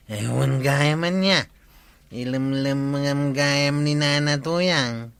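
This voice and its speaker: A man's voice: medium pitch, very slow, vocal fry, fairly bright tone, clear, resonant tone, no echo, heavily acted. A man with an Ilocano accent playing a tipsy villager in a comedy drama, drawling his words.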